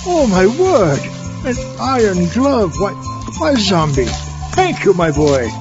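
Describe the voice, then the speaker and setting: A man performs a gleeful mad-doctor villain who longs to rule the world. His high voice swings up and down with theatrical pomposity. It is a comedic radio play, slightly dull in sound, with music underneath. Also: very animated, takes it slowly, exaggerated voice. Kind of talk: comedic audio drama.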